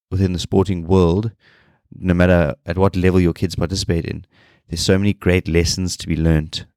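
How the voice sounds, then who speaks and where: A man in his thirties hosting a solo podcast, sounding nasal from a cold and talking in a low-energy, rambling way. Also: a little mellow, no echo, studio quality. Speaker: podcast host.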